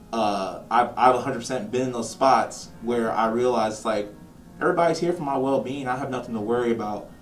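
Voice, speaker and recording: A man talking reflectively and conversationally in an interview. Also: on the low side. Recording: echoey room, slightly distant mic. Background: background music.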